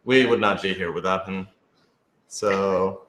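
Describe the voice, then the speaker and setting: A man's voice: deep voice, steady tone, a little slow, fairly bright tone. Man co-hosting in an echoey room. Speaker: co-host and producer of an audio drama. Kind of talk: casual live Q&A chat. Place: indoor room, on a couch. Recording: echoey, roomy sound.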